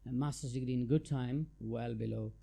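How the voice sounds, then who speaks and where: A man's voice: medium pitch, fairly even intonation, moderate pace, creaky, mellow. A middle-aged man from Nepal, a tax adviser answering interview questions in a thoughtful, hesitant way with many fillers. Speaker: guest, chartered tax adviser.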